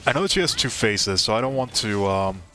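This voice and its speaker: A man's voice: lowish voice, expressive, fairly quick, fairly bright tone. A young man giving casual, playfully dramatic live commentary as he streams a video game. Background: game music.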